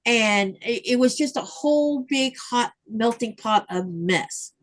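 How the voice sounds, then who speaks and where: A woman hosting a home craft livestream talks in a relaxed, chatty way, full of ums and uhs. Her voice sits a little high. She reads viewer comments aloud and adds her own remarks. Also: unhurried. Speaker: livestream host reading chat. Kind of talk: casual chat. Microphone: livestream mic.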